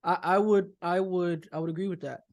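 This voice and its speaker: A man co-hosting a casual chat, calmly explaining background and backing up his partner. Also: high voice, brisk. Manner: explanatory, adds context, affirming interjections. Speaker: podcast co-host.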